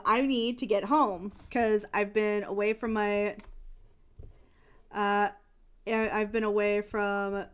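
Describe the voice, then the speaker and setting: Weary homesick king , woman puts him on, halting, mid-pitched, smooth-toned. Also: a bit bright. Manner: rambling, halting. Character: weary homesick male king returning from war.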